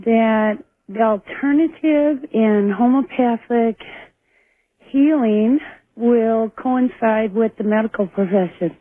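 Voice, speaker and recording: A woman's voice: a little high, slow pace, no echo. An American woman calling in to a radio show, chatting casually. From Montana, United States. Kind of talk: casual call-in chat. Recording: thin dry phone line on radio.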